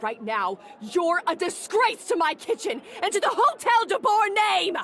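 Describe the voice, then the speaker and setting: A haughty young woman who rules a kitchen in a video game. She is scornful and commanding, with a forceful, very high, animated voice over some background ambience. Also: unhurried, full character voice. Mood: furious. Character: haughty, harsh young female head of a hotel kitchen.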